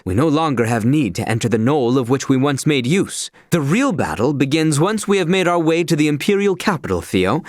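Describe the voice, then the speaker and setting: A man's voice: a little high, no echo, clean studio sound. A man voicing an earnest, dutiful young royal knight in a video game, with courtly, precise, old-fashioned diction. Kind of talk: video game character voice lines.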